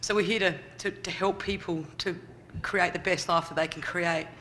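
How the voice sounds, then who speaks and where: A man's voice: high voice, fairly even intonation, normal speed, a little hiss or hum. A man who leads a youth services group, telling his story at a conference in a big, chatty voice with lots of fillers. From Australia. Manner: loud, chatty, many fillers. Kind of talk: conference talk. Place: conference hall.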